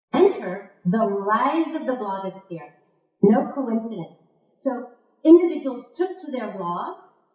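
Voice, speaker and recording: A woman speaking to a conference audience like a theatrical storyteller, with rhetorical questions and emphatic pauses, in a very echoey, muffled recording. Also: on the high side, mellow. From Croatia. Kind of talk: marketing keynote to a live audience. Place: indoor conference stage.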